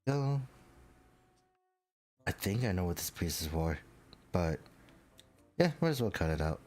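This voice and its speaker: A young man with a very deep, low voice and a stuffy, congested nose. He rambles casually and self-deprecatingly, full of likes and ums, as he works through a model build at his desk mic. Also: big pitch swings, measured pace, darkish tone, soft background music. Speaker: model-kit building vlogger. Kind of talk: casual chat while building a model kit.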